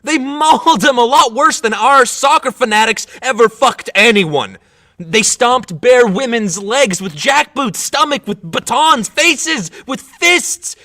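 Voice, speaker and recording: A man acting a fervent, rowdy young soccer fan at a protest, excitable, coarse and halting. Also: very high voice, exaggerated voice. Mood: shaken outrage. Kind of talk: dramatic monologue.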